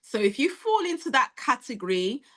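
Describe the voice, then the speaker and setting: A woman's voice: sits high, big pitch swings, normal speed, sharp tone. A woman presenting an online career webinar, talking straight to her audience in a direct and encouraging way. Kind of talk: motivational webinar talk.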